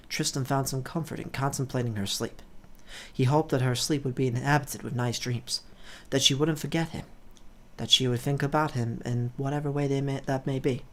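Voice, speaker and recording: A let's play host with an expressive storytelling delivery, speaking to viewers. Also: medium pitch. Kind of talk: expressive story narration, read aloud. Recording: music playing behind the voice. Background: background music.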